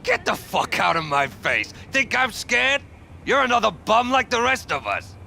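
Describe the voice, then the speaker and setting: Mean drunk dad in a game, super harsh gravelly voice, swings up and down, bit of noise. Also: male voice, very high-pitched, wildly swooping pitch, normal speed, bright, forceful tone, full character voice. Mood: furious, bullying. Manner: belligerent, swearing, barking orders. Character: hostile drunken slum father, crude, bullying, menacing. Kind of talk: video game voice acting.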